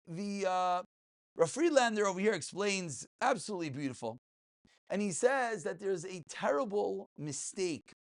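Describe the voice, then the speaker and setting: A man teaches a religious class as a rabbi. His pitch swings widely as he stresses key words and repeats them for emphasis. He speaks informally and keeps putting questions to his listeners. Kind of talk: informal Talmud and ethics lecture.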